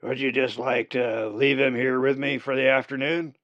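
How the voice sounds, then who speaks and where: A man doing a raspy old raven, loud and grand. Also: mid-range voice, steady tone, moderate pace, no room sound, natural voice. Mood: grand, theatrical. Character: old tattered male one-eyed raven, boastful. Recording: dull lo-fi recording.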